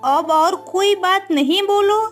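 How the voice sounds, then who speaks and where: कार्टून कहानी में नौकरों को डाँटती, रोबदार और बेसब्र ज़मींदार की पत्नी का किरदार निभाती एक महिला।. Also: female voice, extremely high pitch, slow pace, faint music behind. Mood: scolding, impatient. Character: bossy, impatient landlord's wife scolding her servants. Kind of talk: cartoon moral story acting.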